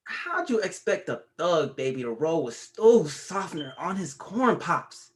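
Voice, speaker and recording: A man's voice: a little high, very animated, unhurried. Man playing a mouthy teenage boy. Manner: blunt, profane, cocky. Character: mouthy fifteen-year-old grandson. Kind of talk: table read.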